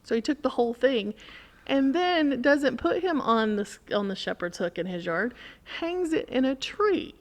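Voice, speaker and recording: A woman's voice: sits high, animated, moderate pace. A woman in a casual podcast conversation, telling an anecdote and acting out quoted remarks as she goes.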